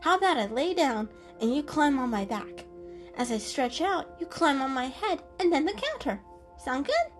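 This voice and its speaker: A woman's voice: high-pitched, sing-song, moderate pace, faint music behind, voice pushed up. A woman voicing a cute, playful young female cat, bubbly, kind and reassuring, in a children's story. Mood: encouraging. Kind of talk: dramatic children's story reading.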